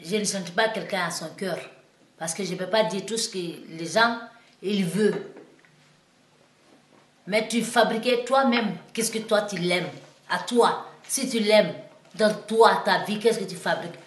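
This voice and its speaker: A woman's voice: mid-range voice, a little slow, roomy sound. A woman from Guinea with a Guinean French accent, talking as a singer in an interview, in simple, broken French full of restarts that grows heated and emphatic. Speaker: singer and musician.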